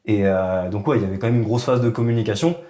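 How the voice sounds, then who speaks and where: A man co-hosting a podcast, telling a personal story in relaxed, casual conversation full of fillers. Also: fairly low pitch, fast, roomy sound. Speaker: podcast co-host. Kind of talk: casual chat.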